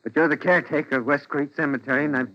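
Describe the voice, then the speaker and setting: An actor playing a frantic, obsessed husband, stammering and insistent, in the intense style of 1940s radio drama. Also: male voice, fairly high pitch, steady tone, racing, no room sound, lightly acted. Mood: desperate insistence. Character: frantic, obsessed young husband refusing to believe. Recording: dull vintage 1940s radio recording.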